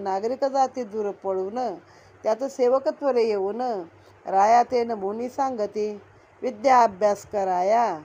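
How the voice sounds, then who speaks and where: A woman reading a devotional verse story aloud in a measured, sing-song recitation. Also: on the high side, mellow. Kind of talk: slow rhythmic reading of religious verse.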